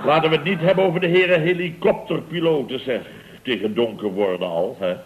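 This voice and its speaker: A man's voice: on the high side, brisk, narrow, tinny audio, lightly acted. A man playing a blustering, indignant boss of a building firm in a radio comedy, with pompous, theatrical storytelling. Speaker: lead actor in radio comedy serial. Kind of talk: 1970s Dutch radio comedy acting. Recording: vintage radio broadcast recording.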